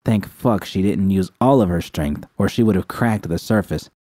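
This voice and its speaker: A man's voice: low voice, normal speed, warm tone, no echo, clean studio sound. A man reading scripted first-person fiction as an audiobook narrator.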